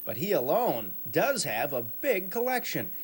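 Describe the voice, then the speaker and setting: A man's voice: fairly high pitch, sing-song, a little slow, husky. A man narrating a scripted television news feature as a reporter. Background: music.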